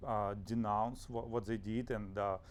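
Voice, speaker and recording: A man from Ukraine speaking with a Russian accent as an interview guest, pausing often with fillers and restarts. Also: fairly low pitch, steady tone. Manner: hesitant, many fillers and restarts. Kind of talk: conversational interview.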